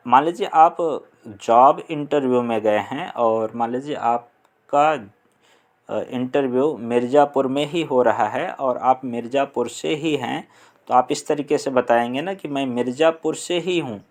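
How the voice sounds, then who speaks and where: A young man from India with a Hindi accent, explaining an English lesson slowly and deliberately, pausing on fillers. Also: medium pitch. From Uttar Pradesh, India. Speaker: English teacher.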